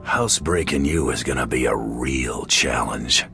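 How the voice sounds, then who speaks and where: An actor playing a tough, cool bounty hunter in a video game, speaking in a dry, deadpan way. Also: male voice, extremely low pitch, measured pace, over music. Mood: dry, warning. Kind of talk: video game character acting.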